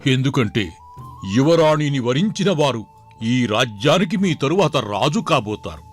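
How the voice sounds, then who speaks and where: A man's voice: mid-range voice, animated, a little slow, breathy edge, faint music behind. A man voicing a deferential, sensible royal minister who speaks formally and courteously. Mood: deferential, advising.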